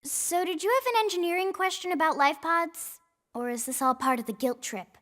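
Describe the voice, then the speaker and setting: A woman's voice: very high-pitched, normal speed, a bit bright. A woman playing a cheeky, eager teenage girl engineer in a video game, bubbly, brash and teasing. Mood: curious, teasing. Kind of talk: scripted video game cutscene acting. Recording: faint music behind the voice.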